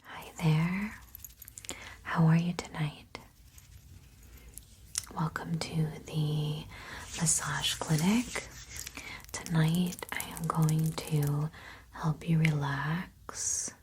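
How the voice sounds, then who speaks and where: A woman playing a calm massage therapist in a whispered ASMR roleplay, speaking slowly and soothingly to a client. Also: sits low, a little hiss or hum. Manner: slow, soothing, repeats words softly.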